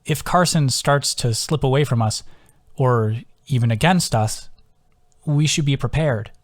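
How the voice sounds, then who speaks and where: A man's voice: medium pitch, unhurried, no room sound, studio quality, lightly acted. A man voicing a thoughtful, frank young woman adventurer with a wry, questioning manner in an audiobook. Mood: concerned.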